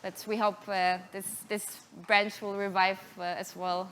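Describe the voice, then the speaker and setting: Polish-born woman pitching her startup. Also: little pitch movement, measured pace, a bit bright, a little hiss or hum. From Poland. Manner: hesitant, many uh and um fillers. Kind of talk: investor pitch and Q&A.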